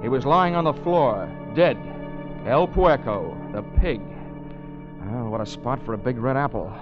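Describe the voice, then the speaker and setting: An American actor playing a wry, hard-boiled insurance investigator who narrates and talks in a 1940s radio detective drama. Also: male voice, mid-range voice, lively intonation, a little slow, close to an everyday voice. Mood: grim narration. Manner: wry, wisecracking delivery. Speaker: lead actor and first-person narrator. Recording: muffled 1940s radio recording with music.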